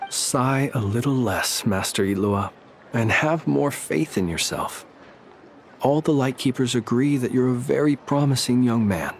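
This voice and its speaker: A man playing a formal, composed and encouraging comrade in a video game, speaking in measured, courteous phrases. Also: medium pitch, animated, slight background noise.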